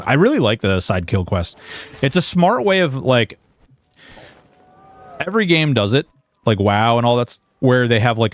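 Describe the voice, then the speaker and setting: A man in his early forties chatting casually and haltingly to livestream viewers while gaming, informal and self-deprecating, with some mild swearing. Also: fairly low pitch. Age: early 40s. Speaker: game livestreamer.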